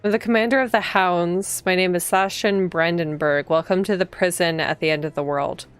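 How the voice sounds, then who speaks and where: A woman's voice: on the low side, steady tone, normal speed, clear, resonant tone, close to an everyday voice. A woman voicing an aloof male commander of prison guards in a visual novel, formal and dry, over music. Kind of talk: visual novel dialogue read aloud. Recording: music behind the voice.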